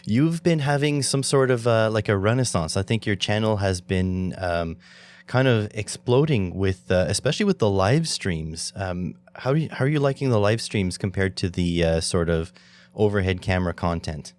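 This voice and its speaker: A man's voice: on the low side, normal speed, a little mellow. A man from Canada hosting a podcast, chatting in a friendly, enthusiastic way with lots of fillers. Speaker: podcast host. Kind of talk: casual interview chat.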